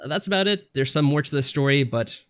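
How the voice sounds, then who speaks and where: A man co-hosting a podcast, explaining things casually in a measured, mild and hedging manner. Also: on the high side, expressive, fairly bright tone, smooth. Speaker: podcast co-host.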